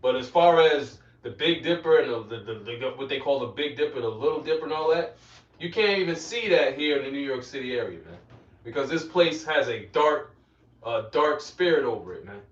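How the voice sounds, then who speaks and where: A man's voice: mid-range voice, expressive, fairly quick. A man teaching scripture interactively as a preacher, emphatic, repeating phrases and prompting those around him. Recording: dull, echoey, distant from the mic.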